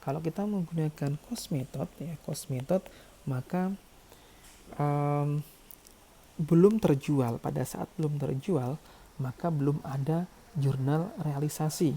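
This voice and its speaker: A man's voice: on the high side, a little slow, long pauses, warm tone, a little hiss or hum. A man giving an explanatory tutorial as an accounting lecturer, working through figures step by step and repeating key phrases for emphasis.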